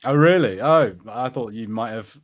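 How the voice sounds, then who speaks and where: A man's voice: mid-range voice, moderate pace. A man co-hosting a podcast, talking in casual, unscripted chat. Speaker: podcast co-host.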